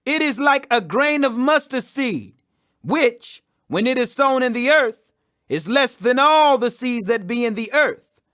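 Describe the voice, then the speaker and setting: A man's voice: extremely high pitch, expressive, a little slow, fairly bright tone, no echo, clean studio sound. A man reading scripture aloud as a scripted audiobook, with an emphatic, declamatory delivery. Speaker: Bible reader.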